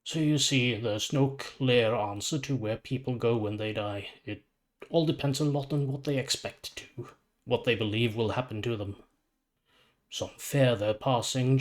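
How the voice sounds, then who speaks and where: Man voicing a calm, weary, ancient psychopomp. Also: mid-range voice, lightly acted. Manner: measured, formal, matter-of-fact. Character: calm, weary, ancient psychopomp supervillain, death's courteous guide.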